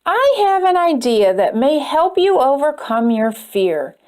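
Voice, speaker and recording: A woman's voice: high-pitched, big pitch swings, a little slow, darkish tone. A woman voicing a silly, eager male bird in a storybook, upbeat and encouraging.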